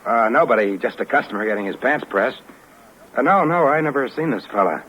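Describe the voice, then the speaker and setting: An actor playing a guarded, evasive shopkeeper with matter-of-fact delivery in a 1950s radio crime drama. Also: male voice, mid-range voice, expressive, a little fast, lo-fi sound, lightly acted. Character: guarded, evasive tailor shopkeeper dealing on the side. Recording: very noisy old radio recording with clicks.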